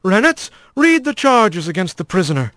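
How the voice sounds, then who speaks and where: Man narrating as female squirrel magistrate, imposing, formal, authoritative, high pitch, dry storybook sound. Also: big pitch swings, normal speed, fairly bright tone, no room sound. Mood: firm. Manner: formal, commanding.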